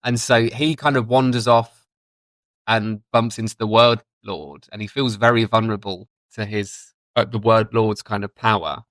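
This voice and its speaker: A man's voice: lowish voice, steady tone, normal speed, a bit bright, no room sound. A man co-hosting a podcast in casual review chat, talking enthusiastically and thinking aloud as he goes. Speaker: podcast co-host.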